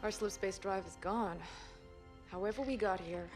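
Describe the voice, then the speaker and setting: A woman's voice: medium pitch, moderate pace, close to an everyday voice. The intent, businesslike woman scientist on a starship bridge in a game cutscene acted by a woman, music playing behind her briefing. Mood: urgent, intrigued. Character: brisk, driven female scientist aboard a military starship. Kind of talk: scripted sci-fi drama.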